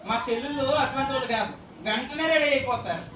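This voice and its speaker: High-voiced woman hosting a children's show. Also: fast, fairly bright tone. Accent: Telugu Indian. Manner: questioning, repeats lines to rally children. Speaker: host of a children's Bible school puppet show. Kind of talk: live interactive children's presenting. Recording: echoey hall, distant mic, crowd and noise. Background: crowd of children.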